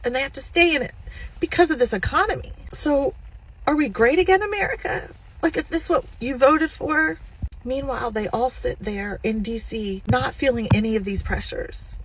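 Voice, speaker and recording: Earnest woman with a husky edge. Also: on the high side, low-quality recording. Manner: earnest, impassioned, plain-spoken. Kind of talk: candid emotional monologue to camera about personal finances.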